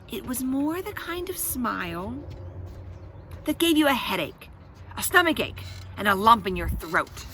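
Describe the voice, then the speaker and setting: A woman reading a children's story aloud with expressive, dramatic emphasis and chatty asides to her listeners. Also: fairly high pitch, a little slow, slight background noise.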